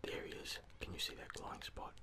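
A young gamer speaks entirely in a whisper, giving ASMR play-by-play of a video game. The delivery is casual and muttered, built from short reactions and the odd swear word. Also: brisk. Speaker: gaming streamer. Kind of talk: whispered ASMR gameplay commentary.

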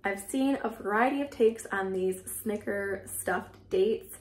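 An American woman in her thirties with a creaky voice, chattily narrating her cooking step by step in a casual, informal way. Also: medium pitch, slow pace. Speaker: home cook vlogger. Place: home kitchen, indoors.

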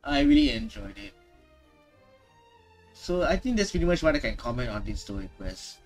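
A man's voice: sits high, huge pitch swings, moderate pace, vocal fry, soft background music. A man giving casual gaming reaction commentary, emphatic and often repeating his words and phrases. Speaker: gaming streamer.

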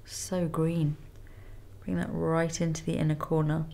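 A woman in her thirties with a cold and a nasal voice, calmly talking through a makeup tutorial step by step in a studio. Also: low-pitched, measured pace, vocal fry. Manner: calm step-by-step instruction while applying makeup. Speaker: makeup artist. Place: indoor studio with plain grey backdrop.

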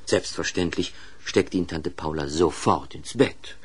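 A man narrating a children's audio play in an expressive, scripted storytelling style with pointed pauses. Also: low voice, slightly rough.

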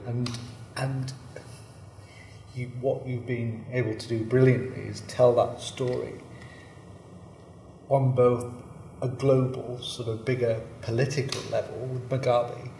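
Flat-voiced man in a roomy hall. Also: medium pitch, gravelly, a little hiss or hum. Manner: deliberate, pauses with ums. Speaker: theatre director. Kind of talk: panel discussion. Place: large rehearsal room indoors. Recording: roomy echo, faint ambience.

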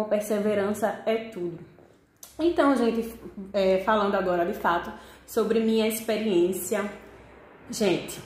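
A young woman speaks in a somewhat high voice with a Northeastern Brazilian accent. She chats casually and expressively, addressing her audience directly as a vlogger would. The sound is that of an indoor room with strong echo, picked up by a camera about an arm's length away. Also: darkish tone. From Pernambuco, Northeast Brazil. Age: young adult. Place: small living room with desk, indoors. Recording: echoey room.

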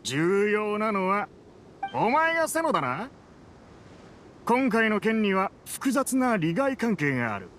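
A man voicing a threatening middle-aged mercenary leader in an anime game, taunting and rough-spoken. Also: high voice, big pitch swings, slow, pauses often, a bit bright, a little hiss or hum, exaggerated voice. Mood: menacing. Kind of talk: Japanese anime game voice acting. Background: background music.

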